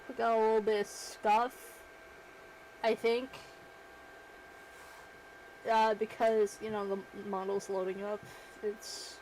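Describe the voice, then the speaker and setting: Brief: a chatty, self-deprecating woman streamer with a fairly high voice, slow and easygoing, with faint background noise. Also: darkish tone, poor audio. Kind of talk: casual livestream chat while working.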